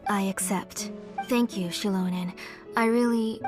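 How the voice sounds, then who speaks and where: A woman's voice: medium pitch, steady tone, a little slow, natural voice. A woman playing an anxious, dutiful, protective mother in a video game, earnest and fretful with rather formal wording. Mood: solemn. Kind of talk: English video game voice acting. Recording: music behind game dialogue.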